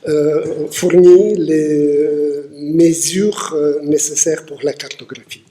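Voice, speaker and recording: A man in his sixties from Moldova, speaking French, answering interview questions haltingly with many filler sounds. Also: fairly high pitch, fairly even intonation, mellow. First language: Russian. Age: 60s. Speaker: guest poet.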